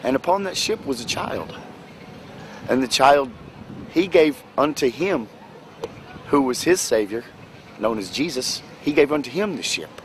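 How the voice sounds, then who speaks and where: A man's voice: mid-range voice, animated, a little hiss or hum. Man rambling a biblical-sounding tale. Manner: rambling, biblical phrasing, halting restarts. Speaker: homeless man interviewed on the street. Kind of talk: rambling storytelling.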